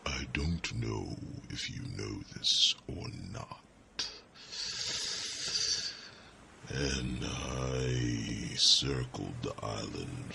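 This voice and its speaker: Rumbling, gravelly, ancient dragon. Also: male voice, sharp tone, a little hiss or hum, exaggerated voice. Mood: wistful, reflective. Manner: slow, deliberate, ruminative with thoughtful hums. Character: huge ancient dragon, calm, gentle, reassuring mentor. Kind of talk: ASMR fantasy roleplay monologue.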